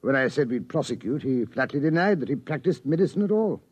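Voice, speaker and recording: A man's voice: mid-range voice, animated, fast talker, a little mellow, husky, dry recording. A man playing an indignant, dignified English medical chairman, formal and pompous, in 1950s radio drama style. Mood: affronted, formal. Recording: muffled vintage 1950s radio recording.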